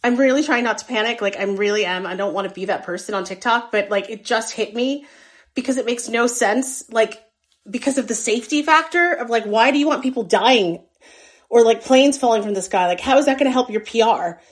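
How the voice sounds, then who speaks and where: A woman's voice: fairly high pitch, fairly quick. A woman talking casually in a social media video, anxious and urgent, with many filler words.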